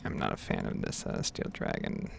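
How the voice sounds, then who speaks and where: A woman giving whispered and soft-spoken ASMR game commentary in a breathy, rambling, unhurried way. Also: slight background noise. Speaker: gaming ASMR video creator.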